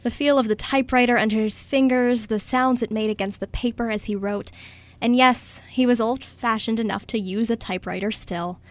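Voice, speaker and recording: A woman's voice: on the high side, fairly even intonation, moderate pace. Woman narrating a radio play.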